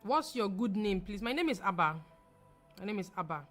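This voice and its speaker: A young adult woman with a Ghanaian accent chats with her live audience, emphatic and quick to repeat herself. Her voice sits at a middle pitch. Faint music plays behind her. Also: sing-song, a bit bright. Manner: chatty, emphatic, repeats phrases, laughs easily. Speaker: livestream trading host. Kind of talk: live-stream chat answering viewers.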